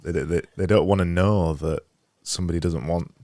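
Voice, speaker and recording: Yorkshire man, thirties, very low voice, dry close studio sound, halting and soft. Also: steady tone, dry recording. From England. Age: 30s. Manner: halting, repeats words, soft-spoken. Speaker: podcast host. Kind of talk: casual video-call interview. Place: home studio room. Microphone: studio mic on boom arm with headphones.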